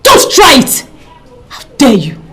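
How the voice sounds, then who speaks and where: A woman's voice: sits high, wildly swooping pitch, takes it slowly, fairly bright tone, full character voice. An actress playing a fiery, indignant young village woman with a Nigerian accent, scolding emphatically in a village drama. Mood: furious, scornful. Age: young adult. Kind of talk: Nollywood village drama acting. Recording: noisy location sound with clicks.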